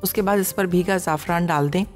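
A woman with a Pakistani accent reads ingredients and method in a steady, measured voice-over. Her voice is on the low side and trails a long reverb. Music runs quietly underneath. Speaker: recipe voice-over reader. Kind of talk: scripted recipe narration.

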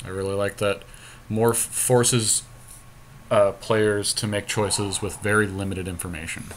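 A man's voice: on the low side, little pitch movement, a little slow. A man co-hosting a podcast, thinking aloud through a card game in casual commentary with some mild swearing. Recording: clear background noise.

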